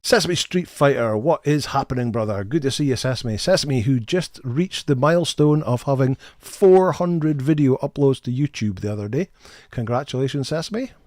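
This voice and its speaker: A man's voice: mid-range voice, normal speed, darkish tone, no room sound. Friendly guy chatting on a game stream, stumbles on first words, voice sounds dry and close. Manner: chatty, friendly, repeats words when starting sentences. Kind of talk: casual live stream chat, reading chat names.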